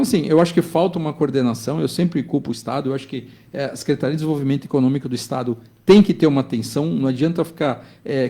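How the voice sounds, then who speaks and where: A man's voice: a little high, warm tone. A man in his fifties speaking as a business executive guest in a studio discussion. He talks in an emphatic, insistent way and keeps checking that the listener follows. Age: 50s.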